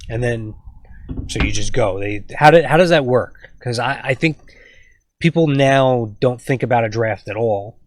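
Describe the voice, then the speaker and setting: A man's voice: medium pitch, moderate pace, slight background noise. A man interviewing his grandfather in a casual family chat, asking loose, curious questions full of fillers.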